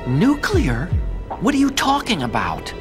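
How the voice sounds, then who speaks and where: High-voiced, timid nerdy engineer. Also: male voice, wildly swooping pitch, unhurried, music in the background, exaggerated voice. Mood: shocked, defensive. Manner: hesitant, earnest. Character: timid, naive, nerdy male weapons engineer. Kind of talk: dramatic video game voice acting.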